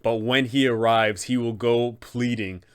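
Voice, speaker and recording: A man's voice: mid-range voice, fairly even intonation, a little slow, clean tone. A young adult man hosting an explanatory tabletop game video, speaking in an enthusiastic, emphatic way. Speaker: host, game master advisor. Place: small room indoors, seated.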